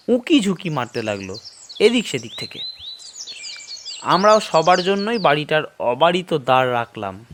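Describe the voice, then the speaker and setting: A man narrating a horror audio story in a suspenseful, expressive style with drawn-out dramatic phrasing. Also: fairly high pitch, slight background noise. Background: background music.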